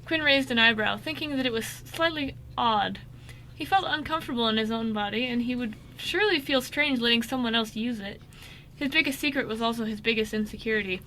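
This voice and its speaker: A woman's voice: a little high, a little fast, bright, forceful tone, a little hiss or hum, poor audio. A woman narrating a scripted amateur radio drama, now and then stumbling over the script.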